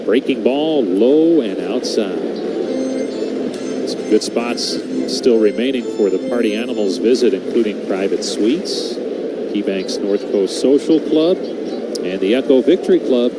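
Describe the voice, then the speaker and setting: A man's voice: lowish voice, expressive, measured pace, loud music behind the voice, poor audio. A man with an American accent calling baseball play-by-play as live radio commentary. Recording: loud background noise on live broadcast.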